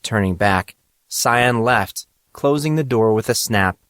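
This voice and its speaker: A man's voice: fairly low pitch, slow pace, fairly bright tone, clean tone, no echo, studio quality. A man reading scripted narration for an audio drama of a young adult story.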